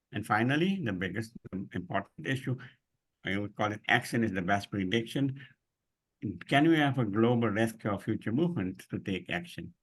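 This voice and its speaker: A man moderating an online discussion in an informal way, speaking haltingly and searching for his words. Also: on the low side. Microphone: online video call.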